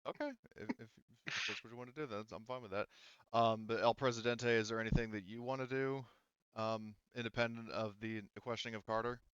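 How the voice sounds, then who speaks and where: Male game master, resonant lower register, loose conversational narration full of rewording, close and slightly muffled audio. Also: steady tone, a little fast, fairly bright tone, clear, resonant tone, no room sound. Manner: rambling, often rephrasing mid-sentence. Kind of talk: casual tabletop game narration.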